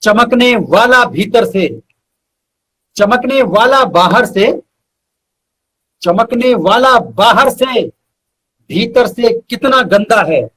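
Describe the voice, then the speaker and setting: A man speaking as a guest poet in a live interview, reciting verse and explaining it, emphatic and repeating lines deliberately. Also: extremely high pitch, expressive, a bit bright, slightly rough.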